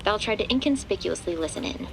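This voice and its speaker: A woman's voice: mid-range voice, expressive, fast, gravelly, background noise. A woman reading scripted third-person narration for a horror audio drama.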